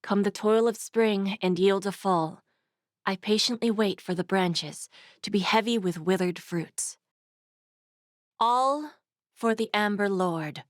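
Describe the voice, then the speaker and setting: A woman playing a cold, regal schemer in a video game, with a deliberate, formal, incantatory delivery. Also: medium pitch, no echo, studio quality, lightly acted. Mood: solemn, ominous. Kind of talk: theatrical game villain monologue.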